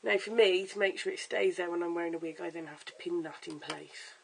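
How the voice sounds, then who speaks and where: A hoarse, croaky-voiced vlogger giving a casual at-home wig tutorial in a chatty, stop-start way, filmed on a camera's own mic. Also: mid-range voice, a little fast, slight background noise. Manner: chatty, stop-start with fillers and self-corrections. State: hoarse, lost voice. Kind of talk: casual how-to demonstration.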